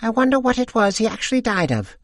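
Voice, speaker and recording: A fretful, nosy old lady, put on by a male narrator frets in a high, piping voice. Her timbre is far from his own, with a thin, pinched edge. The recording is dry and close. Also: big pitch swings, fast, fairly bright tone, dry recording, heavily acted. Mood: fretful gossip. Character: older woman gossip, fretful and nosy.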